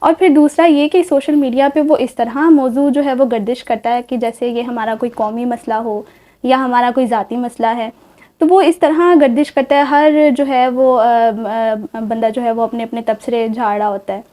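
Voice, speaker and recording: A Pakistani woman presents a talk show in a high, soft-toned voice. She puts her questions politely, pausing and padding her phrases as she builds toward them. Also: fairly even intonation. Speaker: programme host. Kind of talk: interview on a literary and social talk show.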